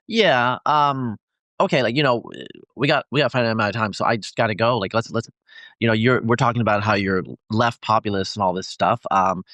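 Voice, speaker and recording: A man hosting a podcast, asking long, halting questions full of fillers and restarts. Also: on the low side, a bit bright, dry recording. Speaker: podcast host. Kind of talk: casual interview questions.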